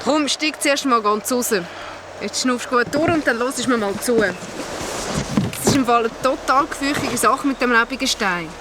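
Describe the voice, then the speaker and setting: An actress playing a level-headed young guide in a Swiss German children's radio play, explaining things in a firm, practical way. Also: female voice, on the high side, normal speed, noisy background, natural voice. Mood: firm, calming.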